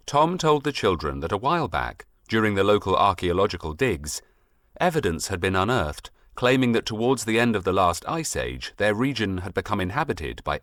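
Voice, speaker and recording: A man narrating a scripted storybook in an expressive, measured, literary storytelling manner. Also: fairly low pitch, no echo, clean studio sound. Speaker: audiobook narrator.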